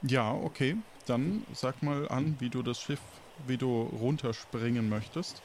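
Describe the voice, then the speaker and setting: A man's voice: mid-range voice, a little slow, a little mellow, slight background noise. A man casually narrating an improvised game as its game master.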